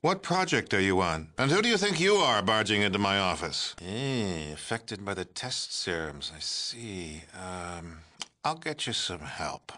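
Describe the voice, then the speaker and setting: An actor playing a smug, folksy president in a video game, with a pompous, glad-handing, patronizing manner. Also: male voice, deep voice, sing-song, a little slow, bright, forceful tone, full character voice. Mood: indignant. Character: smug, folksy, scheming male politician, a president. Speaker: president character. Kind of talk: theatrical video game character acting.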